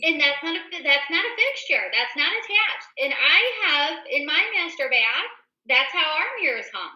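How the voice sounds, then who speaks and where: A woman's voice: high-pitched, sing-song, normal speed, bright, forceful tone. A woman co-hosting a casual talk show, reading items aloud and chatting emphatically straight to her listeners. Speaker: show host. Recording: roomy, echoey indoor sound.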